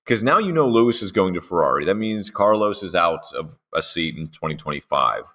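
A man's voice: low-pitched, sing-song, a little fast, a bit bright. Playful man co-hosting a podcast. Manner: playful, riffs with mock dialogue. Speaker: podcast co-host. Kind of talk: casual chat.

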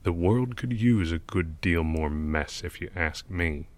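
A man's voice: low-pitched, a little slow, no room sound. A man playing a gloomy, cynical old mural painter in an audio drama, speaking in a sardonic, world-weary manner. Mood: bitter, gloomy. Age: older.

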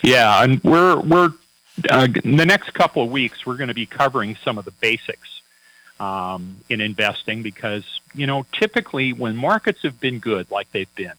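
A man's voice: mid-range voice, pushed and bright, dry recording, poor audio. A man working as a financial advisor, chatting on a radio talk show in a folksy, anecdotal way with many fillers and restarts.

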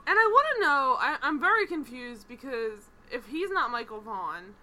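A woman chatting casually about a TV show, animated and rambling, laughing often and swearing. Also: on the high side, moderate pace, rough recording. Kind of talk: casual chat recapping a TV show.

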